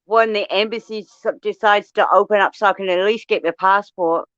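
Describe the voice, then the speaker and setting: A woman's voice: mid-range voice, fairly quick, no echo. Blunt Australian woman, dry lo-fi sound. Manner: blunt, swears freely, animated storytelling. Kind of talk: casual chat.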